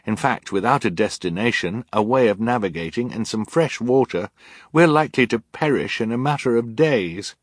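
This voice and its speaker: Man voicing an earnest, defiant teenage girl, raised pitch. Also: normal speed, few pauses, slightly rough, no echo, clean studio sound. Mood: firm.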